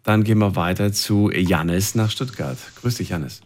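A man hosting a radio call-in show, calmly asking callers follow-up questions. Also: lowish voice, moderate pace. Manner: calm, asks follow-up questions.

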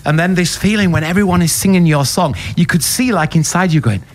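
German-accented male radio host, higher voice, upbeat and chatty on air. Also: fairly quick. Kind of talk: live radio interview. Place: radio studio at a festival.

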